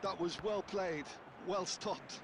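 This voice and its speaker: A man giving calm, explanatory co-commentary analysis on a football match. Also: high voice, takes it slowly, noisy background.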